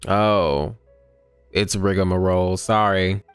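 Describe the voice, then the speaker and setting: Female streamer: very deep, smooth voice, unhurried casual commentary with a dry manner. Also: fairly even intonation, faint music behind. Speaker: gaming streamer. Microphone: close dry microphone.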